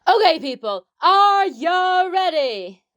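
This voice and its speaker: A woman's voice: very high-pitched, very animated, slow, a bit bright, lo-fi sound, full character voice. A woman playing an over-keen, bossy young detective's sidekick in a comedy audio drama. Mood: eager. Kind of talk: hobby comedy murder-mystery audio drama.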